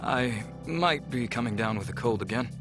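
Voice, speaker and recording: An actor playing a polite, earnest, travel-weary alien scientist in a visual novel, speaking in a measured, explanatory way. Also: male voice, mid-range voice, animated, music in the background, lightly acted. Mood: weary, reassuring. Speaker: game character.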